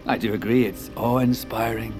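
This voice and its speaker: An actor playing a smooth, commanding male tech visionary who presents like a showman in an audio drama. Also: mid-range voice, steady tone, normal speed, natural voice. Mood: controlling, grand. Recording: dramatic music score behind.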